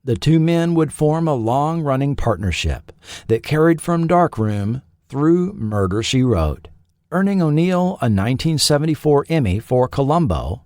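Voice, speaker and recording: A man hosting and narrating a scripted documentary in a measured, deliberate way, letting his phrases fall at the ends. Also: mid-range voice, a little mellow, dry recording, clean studio sound. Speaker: podcast host and narrator.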